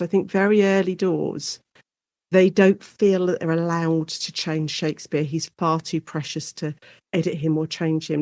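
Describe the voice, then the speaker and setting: A woman's voice: lowish voice, no room sound. Woman, dry close sound, explaining informally. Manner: animated explainer, acts out short quotes. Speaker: guest academic, Shakespeare and radio drama specialist. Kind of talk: informal interview conversation.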